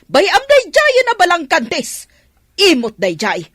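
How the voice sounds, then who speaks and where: Drawling, lively old Filipino grandmother. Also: very high voice, a little slow, dry recording, studio quality, exaggerated voice. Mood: gleeful, gossipy. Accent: Ilocano Filipino. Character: scheming elderly Filipino village grandmother, mother of a soldier. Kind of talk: Ilocano radio drama acting.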